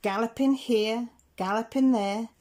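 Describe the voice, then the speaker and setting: A woman reading rhyming picture-book stories aloud in a measured, rhythmic way. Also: a little high, a little mellow. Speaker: children's story reader.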